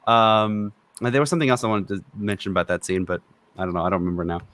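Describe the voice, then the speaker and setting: A man's voice: fairly low pitch, fairly even intonation, fast, fairly bright tone. A man from Romania who co-hosts a film review podcast, talking casually, opinionated and freely swearing.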